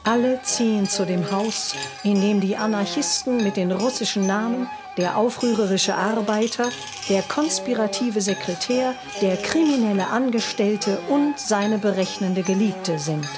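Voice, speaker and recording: A woman's voice: medium pitch, measured pace, lightly acted. A woman narrating a scripted documentary radio play, reading a synopsis in short declarative sentences. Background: music behind the voice.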